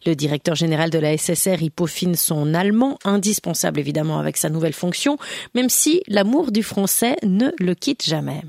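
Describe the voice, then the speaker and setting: A woman presenting a radio segment, reading a scripted introduction in a crisp announcing manner. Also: on the low side, expressive, brisk, a little mellow.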